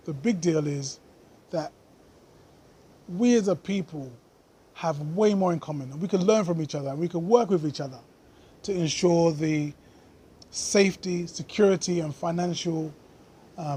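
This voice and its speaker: Husky-voiced man, earnest vlog commentary. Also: fairly high pitch, mellow. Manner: earnest, thoughtful, with frequent pauses and fillers. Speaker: vlogger. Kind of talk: unscripted commentary to camera.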